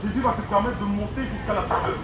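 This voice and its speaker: A man's voice: high-pitched, fairly even intonation, quick pace, a crowd in the background, rough recording. A man preaching an exhorting, emphatic street sermon through a megaphone, returning to key phrases again and again. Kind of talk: street sermon, open-air evangelism. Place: outdoors on an urban street. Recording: amplified megaphone, loud outdoor street noise.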